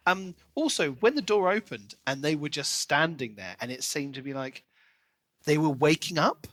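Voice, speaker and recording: A man co-hosting a podcast, chatting casually and enthusiastically about a book in an expressive way. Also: on the high side, moderate pace, dry recording. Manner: enthusiastic, expressive, acts out quoted lines. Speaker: podcast co-host.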